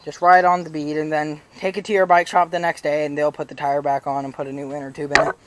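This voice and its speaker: Young man, somewhat high voice, slightly raspy, rambling, lots of fillers, casual bike-tips vlog. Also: flat delivery, fast, a bit bright. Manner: rambling, full of "you know" fillers and restarts. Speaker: BMX vlogger. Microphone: chest-mounted action camera.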